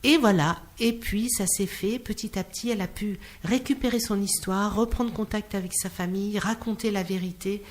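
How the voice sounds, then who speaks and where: Expressive woman telling stories in an interview. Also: mid-range voice, moderate pace, hardly any pauses. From Clermont-Ferrand, France. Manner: expressive storyteller, acts out quoted dialogue. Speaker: interview guest, singer working in hospitals. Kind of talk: animated anecdotal interview talk.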